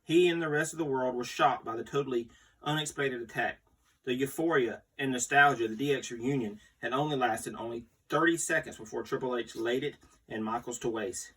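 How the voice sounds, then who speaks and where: A man's voice: medium pitch, brisk, a bit bright, slightly rough. A man reading a magazine article aloud as the host, in a scripted storytelling read. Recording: distant microphone.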